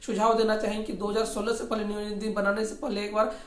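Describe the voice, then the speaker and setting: A speaker with a Jharkhand accent giving informal political commentary, often repeating and rewording phrases in a halting way. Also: medium pitch, level tone. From Jharkhand, India. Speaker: host of a regional news commentary channel. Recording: echoey, roomy sound.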